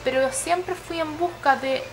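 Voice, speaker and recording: A woman's voice: high-pitched, a little slow, background noise. A woman with a Chilean accent telling her personal story as an interviewee, reflective and often searching for words. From Sweden and Chile.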